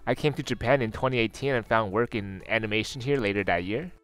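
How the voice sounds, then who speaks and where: A man's voice: fairly low pitch, fast, a bit bright, smooth. A man in his twenties with a Canadian accent, giving career advice in a scripted explainer narration. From Toronto, Canada. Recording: music behind the voice.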